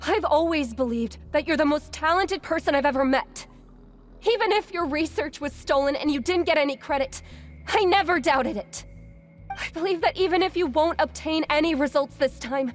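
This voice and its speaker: High, earnest young woman researcher, played by an actress. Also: natural voice. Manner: earnest, polite, heartfelt. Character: earnest, devoted young female junior researcher. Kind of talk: anime-style video game voice acting.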